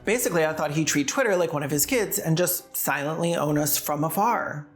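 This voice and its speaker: A woman's voice: deep voice, a little fast, soft background music. A woman narrating scripted video commentary in a sardonic way, with casual asides. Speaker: video essayist and host.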